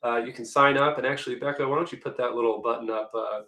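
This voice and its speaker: Male webinar presenter, hoarse, chatty and conversational, echoey room. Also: mid-range voice, steady tone, quick pace, pushed and bright. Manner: chatty, conversational, many restarts. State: hoarse, losing his voice. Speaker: webinar presenter, executive assistant.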